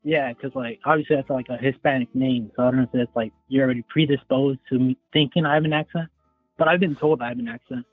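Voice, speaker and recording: A man's voice: mid-range voice, steady tone, fast, faint music behind. A young man in his twenties calling in by phone, rambling casually and hedging with lots of "like.". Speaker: caller. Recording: tinny phone line.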